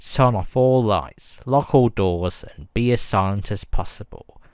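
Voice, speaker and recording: A man reading a scripted emergency broadcast announcement in a formal, measured and grave manner. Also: lowish voice, a little mellow, clear, resonant tone, dry recording, clean studio sound.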